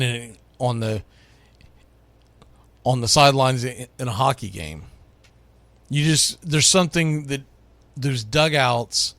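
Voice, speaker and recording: A man's voice: medium pitch, a bit bright, dry recording. A man from the United States hosting sports radio, talking casually and with firm opinions as he counts down a list, leaning on key words.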